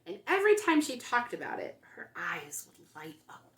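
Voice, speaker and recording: Expressive woman hosting children's storytime. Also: on the high side, moderate pace. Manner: expressive, simple phrasing addressed to children. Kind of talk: picture-book read-aloud for young children.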